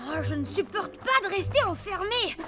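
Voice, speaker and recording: A restless schoolboy in an animated cartoon. The voice is extremely high and leaps about, fidgety and exclamatory. He is excitable and impulsive, over loud music. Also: normal speed. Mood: agitated. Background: loud cartoon score.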